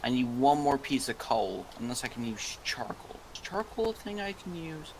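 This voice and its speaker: A man's voice: medium pitch, very animated, normal speed, vocal fry, a little hiss or hum, low-quality recording. A man co-hosting a gaming video, joking with a friend and whispering to himself as he crafts. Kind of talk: casual gaming chat.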